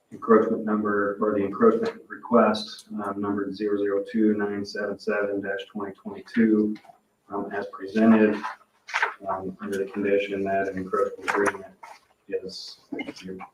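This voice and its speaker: A man's voice: on the low side, monotone, normal speed. A man on a board speaking in a procedural, slightly hesitant way at a public meeting. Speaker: board member. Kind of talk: formal motion at a public meeting. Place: indoor municipal meeting room. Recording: muffled, echoey, noisy room, distant mic.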